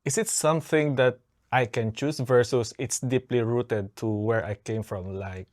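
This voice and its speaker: Male podcast host, interviewer, mid-pitched, informal, halting with fillers. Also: steady tone, a little mellow. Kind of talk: interview questions.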